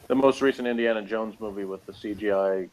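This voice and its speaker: A man's voice: fairly low pitch, normal speed, darkish tone, rough recording. A man chatting informally as co-host of a review, tossing in loose asides. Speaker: co-host of a sci-fi TV episode review. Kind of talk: casual chat and commentary.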